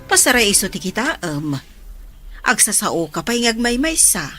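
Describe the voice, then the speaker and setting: A woman voicing a caring middle-aged mother in a radio drama, giving gentle motherly advice. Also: mid-range voice, sing-song, normal speed, soft background music.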